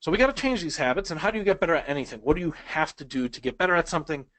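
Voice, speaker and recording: A man's voice: on the high side, expressive, racing, a bit bright, no room sound. A man whose first language is Polish, teaching speed reading in a conversational webinar and asking the audience questions. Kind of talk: webinar lesson.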